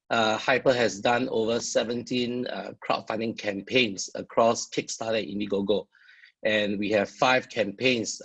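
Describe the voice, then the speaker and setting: A man with a Singaporean accent giving a founder's talk, pausing often with "uh" fillers. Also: on the low side, creaky, slightly rough, low-quality recording. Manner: halting, frequent "uh" fillers. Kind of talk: presentation with many "uh" fillers.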